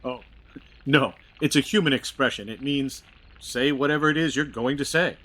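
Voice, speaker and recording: A man playing a secretive alien who passes as human, speaking in a measured, earnest way in an audio drama. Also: mid-range voice, a bit bright, natural voice, rough recording. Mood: helpful. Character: secretive male alien posing as human, calm mentor.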